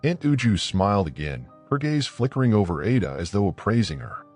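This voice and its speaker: A man's voice: fairly low pitch, big pitch swings, a little fast, darkish tone, soft background music. A man narrating a scripted folktale as a dramatic storyteller.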